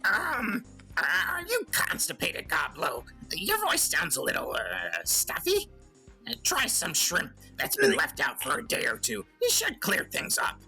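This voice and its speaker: Casting: a sly, smirking male goblin talk host, animated and gravelly, rambling through self-justifying comedy banter. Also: medium pitch, unhurried, faint music behind, heavily acted, low-quality recording. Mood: smug, teasing. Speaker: radio call-in host. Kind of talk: comedic in-character monologue and banter.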